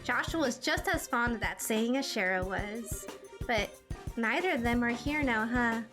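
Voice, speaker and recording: Woman playing hot-headed teen girl fighter, high voice, feisty, defiant, sarcastic, video game, music behind. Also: a little slow, close to an everyday voice. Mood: resolute.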